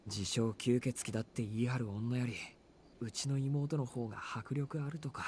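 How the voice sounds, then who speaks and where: A man's voice: medium pitch, steady tone, fast talker, a little mellow, a little hiss or hum, lightly acted. An actor playing an uneasy, puzzled young man in a visual novel game. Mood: wry, uneasy. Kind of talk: Japanese visual novel voice acting. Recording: game music behind the voice.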